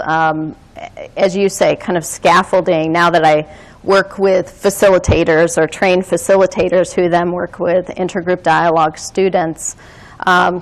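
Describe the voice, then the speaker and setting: A woman who trains dialogue facilitators, speaking in a measured, reflective way with frequent pauses. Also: lowish voice. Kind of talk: reflective conversational talk.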